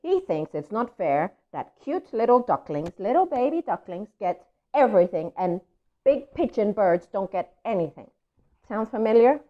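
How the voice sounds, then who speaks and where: A woman hosting a children's story read-aloud, chatting to viewers in an expressive, child-directed way. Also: medium pitch, normal speed, muffled recording. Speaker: children's story reader and host.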